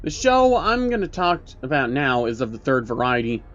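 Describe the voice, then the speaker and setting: A man's voice: mid-range voice, very animated, normal speed, a bit bright. A man narrating a scripted story in a casual, sardonic reviewer's manner. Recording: dull lo-fi recording with faint noise.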